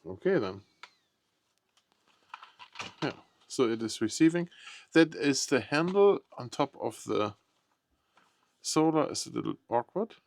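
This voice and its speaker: A man's voice: medium pitch, big pitch swings, unhurried, creaky, pauses often, dry recording. A man giving casual, unscripted unboxing commentary, thinking aloud in short, tentative remarks. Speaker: product unboxing vlogger.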